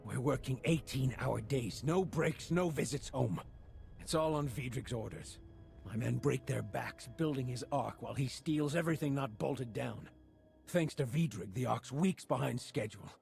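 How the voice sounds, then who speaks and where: An actor playing a weary, aggrieved construction foreman in a video game, with a gruff and blunt way of talking. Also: mid-range voice, moderate pace, a little mellow, faint music behind, close to an everyday voice. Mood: bitter. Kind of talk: video game cutscene acting.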